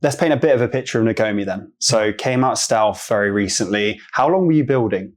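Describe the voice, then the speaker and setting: Podcast host guy, lowish voice, upbeat, lots of yeah great nice. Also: fairly quick. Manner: upbeat, short encouraging backchannels. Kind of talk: casual interview. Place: conference venue.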